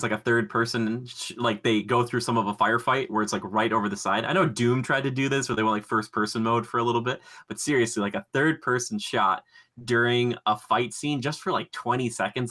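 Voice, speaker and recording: Bright-voiced, excitable man chatting on a podcast. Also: mid-range voice. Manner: excitable, gushing, rambling. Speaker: podcast host. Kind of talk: casual enthusiastic pop culture podcast chat.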